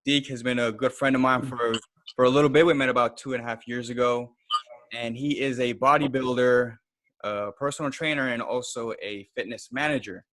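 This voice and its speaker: A man's voice: medium pitch, steady tone, a little fast, a bit bright. Man, podcast host, casual interviewer, quips and short questions. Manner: friendly, joking asides, short prompts.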